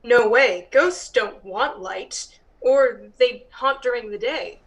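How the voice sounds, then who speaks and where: A woman's voice: on the high side, slow pace, rough recording. A woman playing a sullen, skeptical child in an audio drama, terse and questioning.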